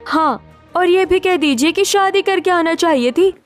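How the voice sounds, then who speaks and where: Woman playing a high-voiced spirited young woman. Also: unhurried, soft background music. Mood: indignant. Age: young adult. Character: spirited, scheming young modern single women seeking housing. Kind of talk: acted Hindi story dialogue.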